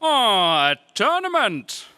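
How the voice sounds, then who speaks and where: An actor playing a cruel, pompous alien emperor in a 1930s-style space serial radio play. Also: male voice, sits high, huge pitch swings, slow, pushed and bright, clean tone, no echo, clean studio sound, exaggerated voice. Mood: gloating. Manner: pompous, theatrical, commanding. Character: imperious, cruel alien emperor and father, a gloating villain.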